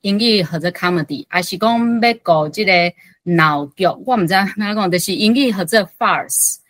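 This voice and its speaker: A woman's voice: medium pitch, normal speed, a bit bright, no room sound. A woman politely puts questions as a reading-group member, hesitating and rephrasing as she goes.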